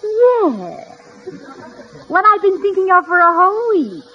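An actress playing a playful, teasing, affectionate wife in a vintage radio comedy. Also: female voice, very high voice, a little slow, heavily acted. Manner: pert, teasing, lively. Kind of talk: 1940s-50s radio comedy acting. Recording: muffled, hissy vintage radio recording.

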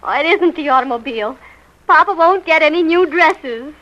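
Shrill, high-pitched young daughter. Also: female voice, expressive, normal speed, fairly bright tone. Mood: whiny pleading. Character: pleading, dramatic young daughter wheedling her mama. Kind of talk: 1940s radio comedy acting. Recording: muffled noisy vintage radio recording.

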